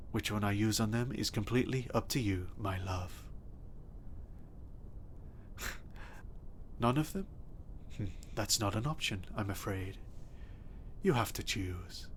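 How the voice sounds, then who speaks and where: A man playing an obsessive, possessive stalker in an audio roleplay, speaking softly and intimately with a threatening edge. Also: fairly low pitch, a little hiss or hum, natural voice. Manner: soft, intimate and menacing, bursting into threats. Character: obsessive, possessive male stalker kidnapper, adult.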